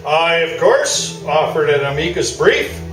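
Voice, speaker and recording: A pompous sports columnist in a comic radio play, acted by a man, with a high, very animated delivery. He pontificates with great self-satisfaction, heard at a distance in a roomy theatre. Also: measured pace. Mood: smug, pedantic. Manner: grandiose, pontificating. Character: pompous, self-satisfied male sports columnist, fond of Toronto's hockey establishment. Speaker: actor in a live radio play. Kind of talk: staged talk-show debate, comic radio play. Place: live theatre, mic at a distance. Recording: roomy distant stage recording, music behind.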